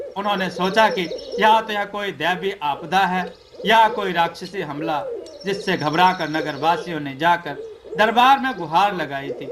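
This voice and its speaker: High-voiced man narrating a folk tale. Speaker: storyteller.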